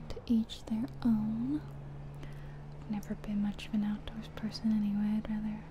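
A woman playing an easygoing night-shift taxi driver, musing softly to herself in a breathy ASMR roleplay. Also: a little high, noisy background, lightly acted. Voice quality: breathy whisper. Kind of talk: soft-spoken whispered ASMR roleplay.

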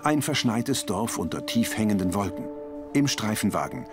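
A man's voice: lowish voice, expressive, moderate pace, music in the background. A man reading terse scripted scene descriptions as an audio description narrator.

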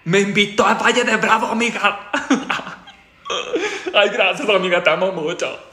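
A woman's voice: medium pitch, lively intonation, measured pace, bright, forceful tone, a little hiss or hum, pitched below the performer's own voice. A woman voicing a gushing Mexican friend who pleads for a favor and giggles as she asks. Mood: pleading. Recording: roomy, from off-mic.

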